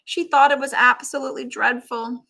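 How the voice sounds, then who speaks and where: A woman reading a picture book aloud in an expressive, animated teacher's manner, with asides to young listeners. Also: sits high, normal speed, vocal fry. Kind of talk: expressive children's story read-aloud.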